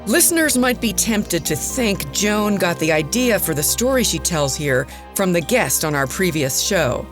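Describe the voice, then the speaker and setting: Female radio investigator played by an actress, serious and measured, lively intonation, faint music underneath. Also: lowish voice. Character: earnest woman radio investigator and interviewer. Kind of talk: scripted radio documentary narration in audio drama.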